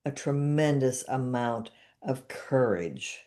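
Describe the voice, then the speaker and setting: A woman's voice: a bass voice, expressive, slow, mellow. A woman in her seventies hosting a podcast, chatting casually and repeating guided phrases after her guest. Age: 70s. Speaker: podcast host and therapist.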